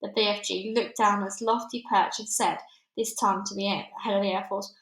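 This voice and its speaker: A woman reading a children's book aloud as an expressive narrator. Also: mid-range voice, brisk. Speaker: story reader. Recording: echoey, roomy sound.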